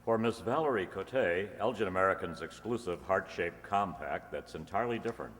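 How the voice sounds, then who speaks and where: An upbeat male radio announcer acted out by a man with a deep voice. He delivers formal, salesy 1940s sponsor copy, polished and upbeat, in a reverberant hall. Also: fairly quick, a bit bright.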